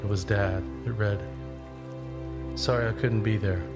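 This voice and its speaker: An actor playing a young long-distance traveler, narrating in the first person in an understated, introspective way for an audio drama. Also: male voice, on the low side, steady tone, a little slow. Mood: reflective. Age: young adult. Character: reflective young long-distance walker telling his journey. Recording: prominent music bed under the voice.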